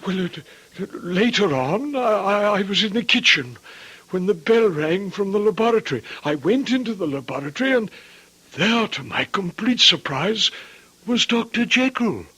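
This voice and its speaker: Nervous stammering manservant, high raspy voice, real deferential, old radio drama. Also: male voice, animated, moderate pace, pushed and bright, exaggerated voice, rough recording. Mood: anxious, earnest. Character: anxious, deferential male household servant, frightened for his master.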